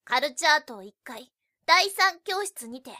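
Woman playing a high, prim, exasperated schoolgirl. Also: animated, measured pace, pushed and bright, no room sound, studio quality, heavily acted. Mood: polite, pointed. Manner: polite but scolding, indignant. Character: prim, exasperated high school girl, blunt junior club member. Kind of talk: Japanese youth romantic comedy voice drama. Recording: light music behind.

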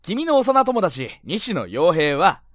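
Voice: mid-range voice, sing-song, slow pace, dry recording, studio quality. An actor playing a cheerful, confident teenage male club president with a theatrical, friendly manner, in a youth voice drama. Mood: cheerful, breezy. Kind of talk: Japanese youth romantic comedy voice drama.

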